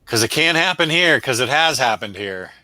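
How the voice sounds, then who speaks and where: A man's voice: mid-range voice, lively intonation, moderate pace, bright, forceful tone, no echo. A man hosting a comic book review show in casual livestream chat, rambling along in a self-deprecating way.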